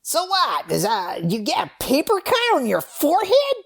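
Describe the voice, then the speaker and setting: Comedy skit: woman as impatient, incredulous friend, highish animated voice with creaky edges, prodding delivery. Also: normal speed, slight background noise, full character voice. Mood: exasperated.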